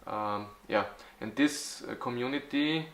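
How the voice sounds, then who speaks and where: A man in his forties from Austria, answering interview questions haltingly, with many fillers and restarts. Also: mid-range voice, a bit bright, echoey room. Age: 40s. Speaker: youth football club organizer.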